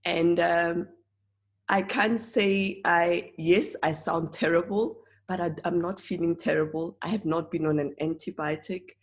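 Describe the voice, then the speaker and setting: A woman speaks in a hoarse, croaky voice that sits low for a woman. She talks slowly and earnestly, stopping often for fillers and restarts. She presents a casual class from home over a video call. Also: steady tone. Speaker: presenter of an online oils class. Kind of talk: casual spoken class talk.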